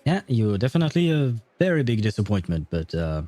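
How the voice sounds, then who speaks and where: A man livestreaming a game with a dark, mellow voice. He talks casually and bluntly with wry swearing, in a dry, close sound. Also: on the low side, sing-song, fairly quick, no echo. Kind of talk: casual game stream commentary.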